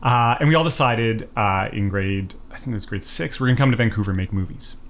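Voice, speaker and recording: A man's voice: lowish voice, fast talker, fairly bright tone. Earnest man in his thirties. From Canada. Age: 30s. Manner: reflective, earnest, many fillers. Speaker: documentary filmmaker guest. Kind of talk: casual podcast interview.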